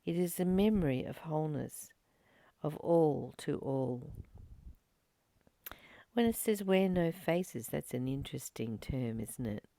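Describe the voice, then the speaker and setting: A woman reading a spiritual text aloud and reflecting on it in a slow, gentle, contemplative way. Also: very deep voice, gravelly, no room sound. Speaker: spiritual study reader and teacher.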